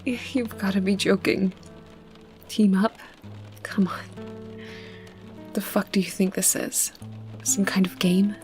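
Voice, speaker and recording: A woman playing a tough, guarded young female survivor in an ASMR roleplay, blunt and sarcastic, with a breathy voice that often drops toward a whisper. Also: medium pitch, little pitch movement, takes it slowly, long pauses, darkish tone, soft background music, natural voice. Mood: scornful, suspicious. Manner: wary, sarcastic, blunt, cursing. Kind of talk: ASMR roleplay, close intimate acting to the listener.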